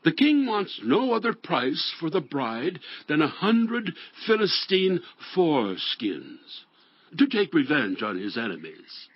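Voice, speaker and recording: Jealous middle-aged king, male actor, scheming and husky, slow swelling lines, dramatized scripture, dry but dull recording. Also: fairly high pitch, very animated, no room sound, heavily acted. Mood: falsely gracious command.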